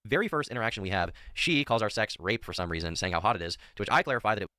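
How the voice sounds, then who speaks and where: A man narrates a scripted response video, reading out messages as he goes. He talks very fast and with a lively, bouncing pitch. His voice is bright and pushed forward, and the recording sounds dry and close. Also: no echo.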